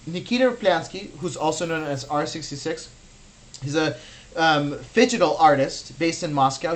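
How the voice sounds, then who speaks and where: A young American man whose first language was Russian talks visitors through a gallery in a loose, enthusiastic way. His voice sits a little high, and he fills his sentences with ums and restarts. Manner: chatty, filler-heavy, enthusiastic. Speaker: virtual gallery tour guide. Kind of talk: casual guided tour.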